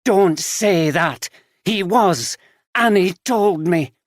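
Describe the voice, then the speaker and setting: A man voicing a disturbed middle-aged woman, slow and dreamy, then sharp and pleading. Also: high-pitched, lively intonation, no room sound, clean studio sound, pitched above the performer's own voice. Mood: angry.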